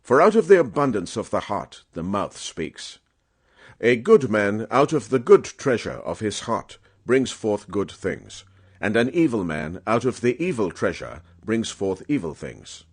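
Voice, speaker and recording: A man narrating scripture as a dramatic audiobook reading, with a solemn, emphatic and measured oratorical delivery. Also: fairly low pitch, very animated, breathy edge.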